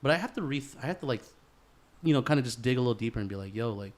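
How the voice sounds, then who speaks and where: A man's voice: medium pitch, expressive, racing. A man talking as a podcast host in a casual chat, earnest and sweary, with bro-style slang.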